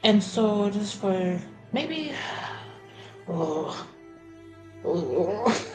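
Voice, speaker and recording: A woman playing a tabletop roleplaying game, talking in a hesitant, halting way as she searches for words. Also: medium pitch, big pitch swings, soft background music. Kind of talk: unscripted in-character roleplay and table talk.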